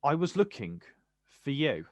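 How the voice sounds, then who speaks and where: A man acting a watchful, quietly wounded poet in a naturalistic stage play, speaking deliberately in terse, repeated phrases. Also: mid-range voice, sing-song, dry recording. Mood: awkward, gentle.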